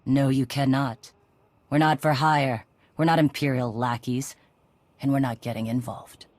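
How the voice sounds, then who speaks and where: An actress playing a tough, cocky Mandalorian clan leader in a video game, brash and sardonic in a casual way. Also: female voice, rumbling low, steady tone, unhurried, darkish tone, no room sound. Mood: firm, dismissive.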